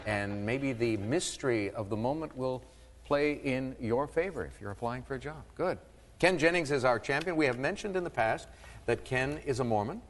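A man's voice: medium pitch, animated, normal speed, in a large hall. A man hosting a quiz show, reading clues and teasing contestants with playful asides. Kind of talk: game show hosting with banter.

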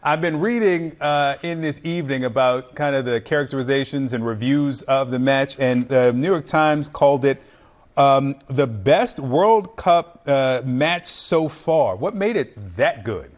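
A male news anchor at a middle pitch, easing from sports headlines into an interview. He talks casually and lets a few fillers slip into his read. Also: a little slow. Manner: conversational, with fillers. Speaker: news host.

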